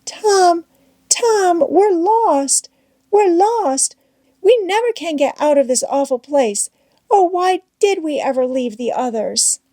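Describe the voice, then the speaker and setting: A woman's voice: very high-pitched, animated, slow pace, warm tone, pitched above the performer's own voice, full character voice. A woman voicing a frightened young girl in a storybook, anxious and pleading. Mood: panicked, tearful. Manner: pleading, apprehensive. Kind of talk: children's novel read aloud.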